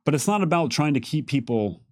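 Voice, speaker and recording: A man talking casually and haltingly, thinking aloud as he answers questions. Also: on the high side, very animated, dry recording, clean studio sound. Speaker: game developer answering fan questions on a stream. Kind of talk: casual unscripted Q&A talk.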